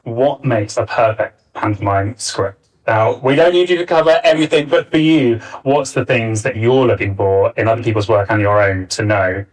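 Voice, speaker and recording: A man's voice: medium pitch, moderate pace. Distant-miked man of thirty chairing a panel. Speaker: panel chair, writer and director. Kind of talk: live panel introduction and moderation. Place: arts centre theatre, live panel. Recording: distant, varying-quality backup live recording.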